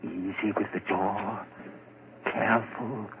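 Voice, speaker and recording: An actor playing a tormented, guilt-ridden murderer muttering haltingly to himself in a 1940s radio horror play, on a noisy old recording. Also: male voice, on the low side, steady tone, dull audio. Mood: hushed brooding. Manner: brooding, halting, talking to himself.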